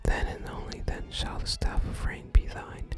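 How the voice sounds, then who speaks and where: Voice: moderate pace, natural voice. ASMR gamer as a whispering solemn ancient sage. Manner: solemn, archaic, hushed. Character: solemn archaic sage guarding a sacred staff. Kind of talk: whispered ASMR reading of fantasy game dialogue. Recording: soft music under the whisper.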